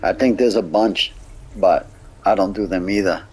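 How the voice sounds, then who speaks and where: A man's voice: low voice, moderate pace, darkish tone, husky, rough recording. A man chatting casually as he plays a video game. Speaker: game player. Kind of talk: casual chat during gameplay.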